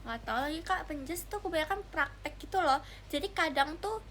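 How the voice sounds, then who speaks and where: A woman's voice: high voice, a little fast, a bit bright, a little hiss or hum. A young woman, chatting casually and giggly with viewers on a livestream, addressing them directly. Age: young adult. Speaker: idol livestreamer.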